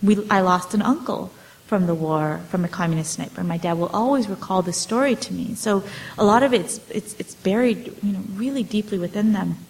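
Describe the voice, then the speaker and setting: A woman's voice: mid-range voice, fairly quick, low-quality recording. A woman in her forties, an author, talking about her family's history in a loose, hesitant way, full of fillers and restarts. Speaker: author answering audience questions. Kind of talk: casual storytelling talk.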